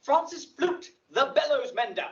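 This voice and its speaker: An actor playing an officious organizer of amateur players, deliberate and emphatic, in a Shakespeare audio drama. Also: male voice, extremely high pitch, animated, fairly bright tone, exaggerated voice. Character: male carpenter directing an amateur play, officious organizer. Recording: dull lo-fi audio drama playback, distant.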